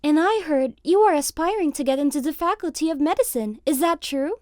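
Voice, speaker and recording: A woman playing a kind, polite, eager high school girl in a manga video, humble and apologetic in manner. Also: lively intonation, fairly quick, darkish tone, no room sound, studio quality. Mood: polite, earnest. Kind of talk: manga video character dialogue.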